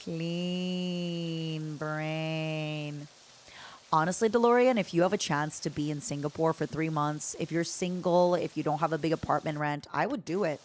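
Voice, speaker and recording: Casual woman streamer, slightly low voice. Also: steady tone, measured pace, slight background noise. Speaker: livestreamer, host. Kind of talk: casual chat while gaming, answering chat.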